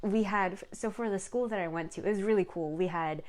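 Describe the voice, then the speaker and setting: A woman's voice: mid-range voice, brisk, darkish tone. A young woman from South Korea hosting her own podcast, telling a personal story in a chatty way full of fillers and restarts. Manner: chatty, many fillers and self-corrections. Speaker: podcast host.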